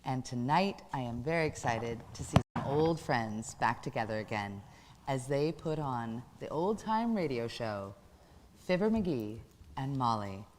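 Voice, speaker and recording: A woman's voice: very deep voice, animated, a little slow, a little mellow, a little hiss or hum. A woman host giving a scripted introduction to a show. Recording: long hall reverb.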